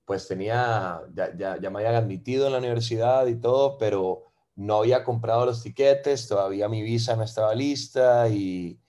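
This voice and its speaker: A Venezuelan-born man in his thirties with a Costa Rican accent, chatting casually as a podcast guest and filling pauses with "ma" and "eh". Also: lowish voice. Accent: Costa Rican Spanish. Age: 30s. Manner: relaxed, fills with "ma" and "eh". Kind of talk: casual interview chat.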